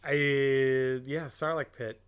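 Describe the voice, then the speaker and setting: A young man from Ohio, a podcast co-host going hoarse, rambling through crude jokes and fillers while commenting on a film. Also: medium pitch, level tone, slow pace, dull audio. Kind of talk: comedic film commentary chat.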